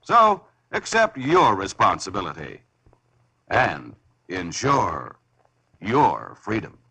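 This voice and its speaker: A bright, forceful American man announces in formal 1950s radio style. His voice has a husky edge and moves widely in pitch, giving an earnest, oratorical delivery. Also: wildly swooping pitch, takes it slowly. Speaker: public service announcer.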